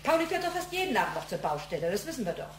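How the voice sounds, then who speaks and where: A woman's voice: fairly high pitch, big pitch swings, rapid-fire, roomy sound, slight background noise. A woman playing a flustered, fretful middle-aged secretary of long service in a German radio play, in an agitated manner. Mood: alarmed. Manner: agitated, fretting.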